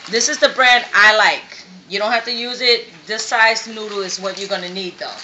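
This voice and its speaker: A woman born in Vietnam walks viewers through a recipe in her home kitchen, chatty and step by step. Her voice is bright, and the kitchen sounds roomy and echoey, with a faint hum of background noise. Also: mid-range voice, fairly even intonation, moderate pace. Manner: chatty, explains step by step, frequent okay checks. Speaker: cooking show host. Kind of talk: home cooking demonstration.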